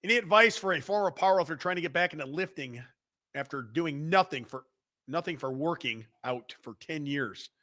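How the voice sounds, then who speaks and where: A man with a Chicago accent hosting a live show, loud and profane, bantering and reading out the chat. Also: fairly high pitch, fairly quick. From Midwestern United States. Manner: loud, rowdy and profane, with bursts of laughter.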